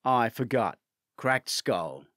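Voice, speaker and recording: A man voicing a brisk, matter-of-fact male investigator with a wry streak in an audiobook. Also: medium pitch, big pitch swings, fairly bright tone, no echo, clean studio sound. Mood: teasing. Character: brisk, teasing male investigator colleague delivering bad news.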